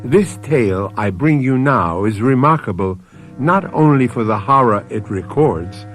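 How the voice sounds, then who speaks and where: Male radio mystery host: deliberate scripted narration, medium pitch, very lively intonation, light music bed. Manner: deliberate, storytelling.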